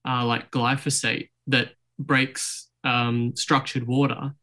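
A man's voice: medium pitch, level tone, takes it slowly, sharp tone. A young man hosting a podcast, asking an interview question over a video call from his room, with many ums and restarts. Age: young adult. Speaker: podcast host. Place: bedroom at home, indoors.

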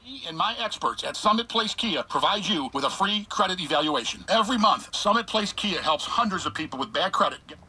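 A man reading a radio commercial, husky, heard through a portable radio speaker. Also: high-pitched, lively intonation, fairly quick, few pauses, pushed and bright, low-quality recording. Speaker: car dealership advertiser.

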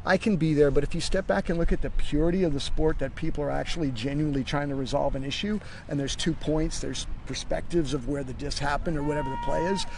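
A man's voice: fairly high pitch, fairly even intonation, quick pace, few pauses, darkish tone, slight background noise. A middle-aged man hosting an outdoor interview in a park, rambling and restarting his sentences as he talks. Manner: rambling, repeats words, restarts often. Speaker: podcast host. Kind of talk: casual interview chat. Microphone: phone camera.

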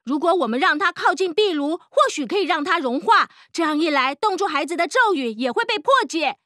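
An excitable cartoon boy with a very high, bright voice that swoops up and down, as a woman plays him. He talks eagerly and keeps rushing ahead. The sound is close and dry. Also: dry recording, full character voice. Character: bold, reckless young boy. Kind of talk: cartoon dubbing.

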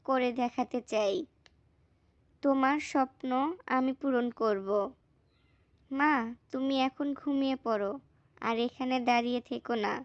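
A woman's voice: high voice, dry recording, dull audio. A woman playing an affectionate, determined young student daughter in a cartoon. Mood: earnest. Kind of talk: acted cartoon dialogue.

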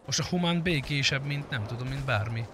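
A man's voice: medium pitch, a bit bright. A man giving casual live commentary on a roleplay game, chatting in slang and swearing as he addresses his viewers and friends. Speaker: game streamer. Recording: clearly noisy background.

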